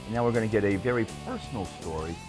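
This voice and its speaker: A man's voice: lowish voice, racing, over music. A man hosting a TV interview show, asking long thoughtful questions while searching for words. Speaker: TV show host.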